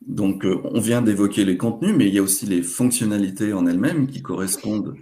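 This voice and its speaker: A man presenting a webinar, explaining examples in a hesitant, halting way. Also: lowish voice, warm tone.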